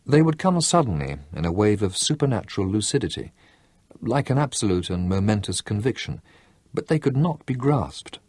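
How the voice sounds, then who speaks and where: A man narrating a novel as an audiobook, in a measured, expressive storytelling manner. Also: fairly low pitch, slightly rough, no room sound, studio quality. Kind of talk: scripted narration.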